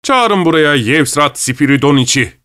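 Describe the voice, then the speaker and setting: A male reader plays an outraged gentleman from a storybook. He is heated and demanding, making threats in a raised, indignant voice. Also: on the high side, expressive, normal speed, clear, resonant tone, no room sound, studio quality, voice pushed up. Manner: heated, summoning help.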